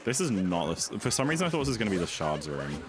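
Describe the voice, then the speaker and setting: A young man with a deep voice, streaming and chatting easily with viewers in a rambling, relaxed way. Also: fast. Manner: rambling, easygoing, full of "like". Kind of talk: casual chat while gaming, answering chat. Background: music.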